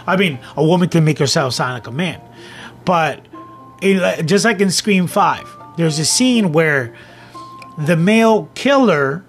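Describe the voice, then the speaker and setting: Higher-voiced man rambling about films. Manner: rambling, repeats words and phrases. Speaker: host of a film commentary show. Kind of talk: casual film commentary, part read from notes.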